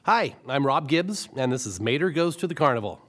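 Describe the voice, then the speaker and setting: A man telling a cartoon story as a pitch, in simple present tense with exclamations and spoken sound effects. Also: lively intonation, brisk, fairly bright tone.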